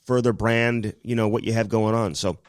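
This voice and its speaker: A man's voice: on the low side, fairly even intonation, normal speed, no echo. A man co-hosting a podcast, rambling through ad reads and casual chat with lots of fillers, sounding a little nasal from a cold. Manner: rambling, filler-heavy delivery. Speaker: podcast co-host.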